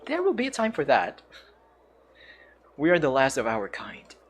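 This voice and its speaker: A man's voice: a little high, huge pitch swings, moderate pace. A man reading the lines of a proud, weary male giant horned warrior in a video game, grim and defiant.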